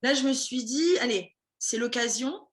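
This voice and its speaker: A woman's voice: on the high side, lively intonation, moderate pace, slight background noise. A woman running a language school, chatting casually in an interview with many fillers and restarts.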